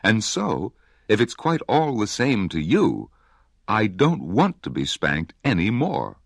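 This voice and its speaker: A man's voice: on the low side, wildly swooping pitch, takes it slowly, gravelly, slightly rough, no room sound, studio quality, pitched above the performer's own voice, heavily acted. A man voicing a polite, eager young elephant calf in a storybook reading. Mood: polite pleading.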